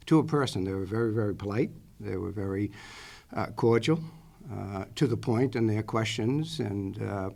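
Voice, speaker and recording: A man in his sixties from the Boston area with a Boston accent, answering at a school committee interview in a rambling way with many fillers. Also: on the low side. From Boston area, Massachusetts. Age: 60s. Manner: rambling, many "uh" fillers and self-corrections, chatty. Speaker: superintendent candidate. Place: school committee meeting room.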